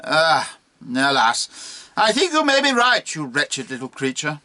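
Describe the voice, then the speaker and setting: Man acting a pompous old bishop, forceful and animated. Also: a little high, a little slow, heavily acted. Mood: resigned. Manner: pompous, declamatory, threatening. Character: pompous, blustering fat old drunken bishop. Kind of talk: dramatic poetry reading.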